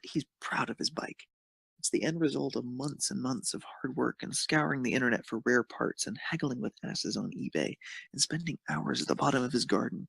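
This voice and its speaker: Gravelly, husky male narrator. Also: mid-range voice, fairly bright tone, no room sound. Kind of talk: scripted narration of romantic fan fiction.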